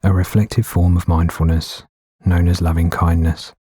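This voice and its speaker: A man gently guiding a meditation in a soothing, unhurried way with long pauses between phrases. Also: deep voice, level tone, slightly rough, no echo, studio quality. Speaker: meditation guide. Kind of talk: guided meditation narration. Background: background music.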